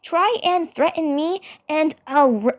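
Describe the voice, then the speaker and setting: A woman's voice: sits high, measured pace, voice pushed up. A woman voicing a tough, protective young cartoon superheroine with a sarcastic, challenging manner. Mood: threatening.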